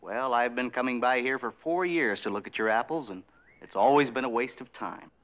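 An actor playing a blunt, dry businessman who buys produce and talks in a deadpan way, in 1960s sitcom style. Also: mid-range voice, a little fast, lightly acted. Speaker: produce buyer. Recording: dull lo-fi 1960s TV soundtrack with music.

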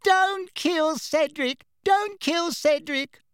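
Very high, whimpering boy's voice. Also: a bit bright, dry recording, clean studio sound, exaggerated voice. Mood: mocking whimper. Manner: wailing, drawn-out taunting pleas. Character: whimpering high-pitched mocking imitation of a frightened boy.

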